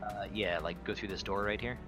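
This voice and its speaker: A man's voice: lowish voice, fairly even intonation, fairly quick. A man playing a tabletop game, chatting casually and piecing his questions together aloud in a hesitant way. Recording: prominent music behind voice.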